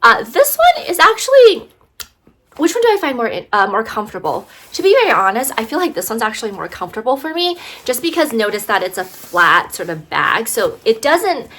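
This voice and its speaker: A woman's voice: a little high, sing-song, a little fast, fairly bright tone, a little hiss or hum. A woman vlogger casually reviewing bags, slightly congested from allergies, chatty with many fillers. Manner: chatty, lots of fillers and "right?". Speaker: fashion vlogger.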